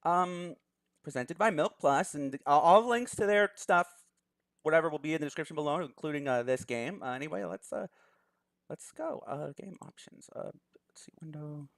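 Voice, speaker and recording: A man's voice: fairly high pitch, expressive, normal speed, a bit bright, no room sound, clean studio sound. A young man hosting a let's play, chatting casually and reading a visual novel's story text aloud. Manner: chatty, laughs easily, thinks aloud.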